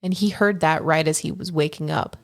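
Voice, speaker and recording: A woman's voice: sits low, steady tone, fairly quick, vocal fry. A woman co-hosting a podcast, chatty and upbeat, laughing easily while she talks. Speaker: podcast co-host. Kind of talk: casual podcast chat.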